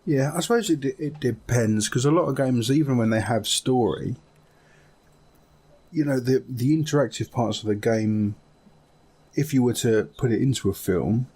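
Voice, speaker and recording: A man's voice: lowish voice, mellow, husky. A man chatting casually and enthusiastically as a podcast co-host, talking in a loose, conversational way. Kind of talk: casual chat about video game films.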